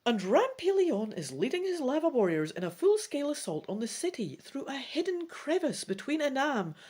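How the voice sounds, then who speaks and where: A woman's voice: high-pitched, big pitch swings, normal speed, darkish tone, voice pushed up. A woman voicing an earnest, commanding sorceress in a dramatic gamebook reading. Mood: urgent, grave.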